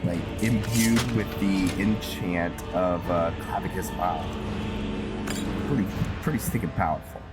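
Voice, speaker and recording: A man with a low voice hosts a casual game playthrough. He speaks slowly and haltingly, thinking aloud as he narrates what he does. Loud game music runs behind his commentary. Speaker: gaming video host.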